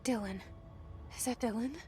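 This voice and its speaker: A woman's voice: fairly high pitch, moderate pace, dark tone, soft background music, natural voice. A woman playing a guarded, determined young heroine in a video game, with an intense, introspective, terse delivery. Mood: urgent. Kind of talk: naturalistic video game acting.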